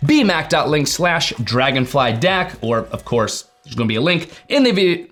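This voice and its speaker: A man with a fairly high voice presents a tech explainer to his viewers. His intonation swings widely and animatedly. He is chatty and informal, leaning on key words for emphasis. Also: sing-song, normal speed, fairly bright tone. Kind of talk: explainer vlog to camera.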